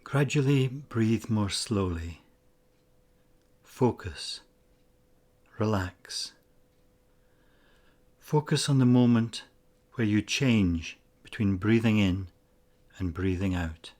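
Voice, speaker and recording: Low-voiced man guiding a meditation. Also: darkish tone. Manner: slow, measured instructional delivery with pauses. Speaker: guided meditation narrator. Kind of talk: scripted guided meditation narration.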